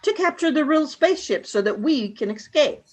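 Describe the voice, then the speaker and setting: A woman's voice: sits high, expressive, moderate pace. A woman voicing a cold, suspicious alien woman leader who speaks in clipped lines in a radio play. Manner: clipped, curt questions and statements. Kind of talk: amateur radio play acting.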